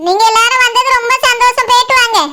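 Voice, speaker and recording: A very high, squeaky cartoon village mother, acted by a woman, rattling along at a quick pace. She is good-natured and chatty, forever organizing the family in a Kanyakumari Tamil accent. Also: level tone, noisy background. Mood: welcoming. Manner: chatty, instructing. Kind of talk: village cartoon drama acting.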